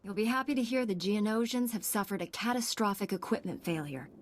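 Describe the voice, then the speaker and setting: An actress playing a composed, confident young Jedi knight in a video game, speaking with assurance. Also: female voice, medium pitch, fairly quick, no echo, close to an everyday voice. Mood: composed confidence. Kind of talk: video game dialogue acting.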